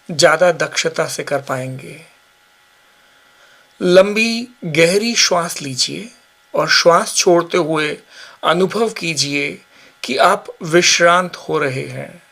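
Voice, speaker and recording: A man giving guided yoga nidra meditation instructions in measured short phrases with pauses. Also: on the high side, vocal fry, bright, forceful tone.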